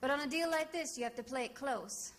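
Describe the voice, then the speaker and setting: A woman's voice: high-pitched, a little fast, heavy background noise, close to an everyday voice. An actress playing a breezy, shrewd female private eye in old-time radio detective drama, wry, glib and teasing. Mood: wry narrating. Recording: vintage radio drama recording with music.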